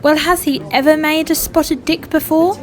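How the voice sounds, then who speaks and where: Dim village gossip, female actor: English, wistful, high-pitched, busy crowd in background. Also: steady tone, normal speed, close to an everyday voice. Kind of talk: comic radio drama acting.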